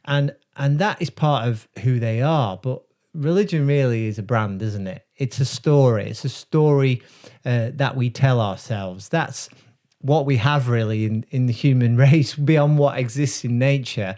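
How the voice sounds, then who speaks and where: Man, brand strategist, warm mellow tone, reflective delivery, pauses to think. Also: medium pitch. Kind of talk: casual explanatory talk to camera.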